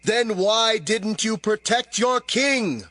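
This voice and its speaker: An actor playing a bold, devout young warrior in an audio drama, with drawn-out, declamatory delivery. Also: male voice, high voice, animated, sharp tone, no echo, full character voice. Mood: accusing, shouting.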